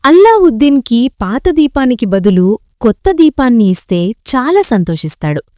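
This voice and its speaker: A woman's voice: fairly high pitch, very animated, moderate pace, mellow, no room sound, studio quality. A woman playing a deferential, helpful palace lady in a children's fairy tale.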